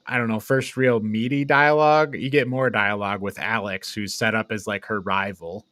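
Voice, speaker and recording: A man's voice: lowish voice, a bit bright, no room sound, clean studio sound. A man co-hosting a podcast, casually discussing a film. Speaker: podcast co-host. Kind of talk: casual film review chat.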